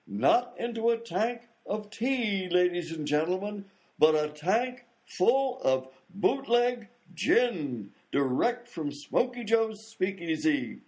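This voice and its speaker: Man as an animated high-voiced variety show announcer. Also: full character voice. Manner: drawn-out showman delivery, easily flustered. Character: excitable male 1920s variety show announcer. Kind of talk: theatrical stage announcing in radio comedy.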